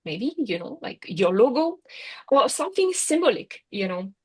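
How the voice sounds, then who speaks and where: A woman's voice: a little high, very animated, moderate pace, husky. A middle-aged woman with a French accent gives an online workshop talk in a chatty way, filling her sentences with "you know, like" and "right?". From France. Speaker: workshop speaker. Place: Singapore.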